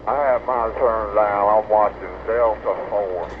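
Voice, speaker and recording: Lowish man on tinny CB radio. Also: fairly even intonation, a little slow. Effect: CB radio transmission. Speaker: CB radio operator. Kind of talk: casual radio chat. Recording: thin narrowband CB radio, faint static.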